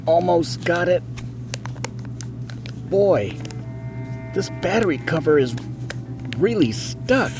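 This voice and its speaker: Man playing a high-pitched, excitable naive guy. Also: takes it slowly, pauses often, over music, full character voice. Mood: cheerfully oblivious. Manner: excitable, everyday talk. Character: naive, excitable guy among friends, obliviously cheerful. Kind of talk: audio drama acting.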